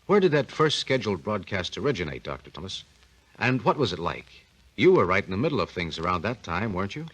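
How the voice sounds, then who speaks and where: A man's voice: on the low side, sing-song, quick pace, fairly bright tone, slightly rough, poor audio. A man hosting a scripted 1940s radio talk program. He introduces the guest and puts folksy, curious questions to him. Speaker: radio host and announcer.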